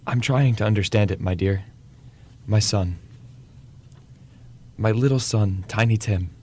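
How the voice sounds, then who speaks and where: A young man playing a gentle, heartbroken father in a radio drama. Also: lowish voice, a little slow, slight background noise, close to an everyday voice. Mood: sorrowful, gentle. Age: young adult.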